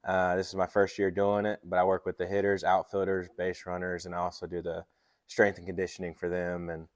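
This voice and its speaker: A man's voice: deep voice, monotone, fairly quick, no room sound. A man in his twenties from North Carolina, a baseball coach giving casual interview answers. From North Carolina, United States.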